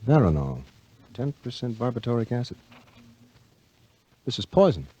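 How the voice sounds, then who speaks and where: An actor playing a suspicious, brooding American diver, terse and tough, in a 1950s radio drama. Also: male voice, mid-range voice, very animated, normal speed, mellow, muffled recording, a little hiss or hum, natural voice. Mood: suspicious, tense. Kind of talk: 1950s radio drama acting with first-person narration. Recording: vintage radio broadcast with music.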